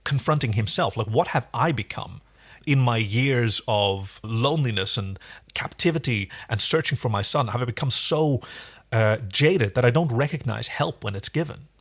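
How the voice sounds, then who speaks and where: A man's voice: mid-range voice, normal speed, slightly rough. A man co-hosting a podcast, giving casual, analytical and enthusiastic commentary on a television show. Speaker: podcast co-host.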